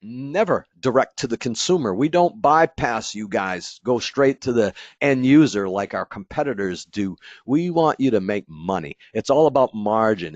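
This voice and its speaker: A low-voiced man leads a training webinar for installers in a loose, rambling way, full of "uh" and restarts. His voice comes across close and dry, with a slightly dull, muffled tone. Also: no room sound. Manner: casual, rambling, many fillers and restarts; acts out an installer's inner thoughts. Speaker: company product trainer.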